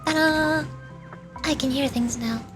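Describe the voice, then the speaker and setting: Female VTuber streamer: high voice, casual gameplay chatter with playful dramatics, soft music underneath. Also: measured pace. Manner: expressive, playfully dramatic exclamations. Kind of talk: casual livestream gameplay commentary.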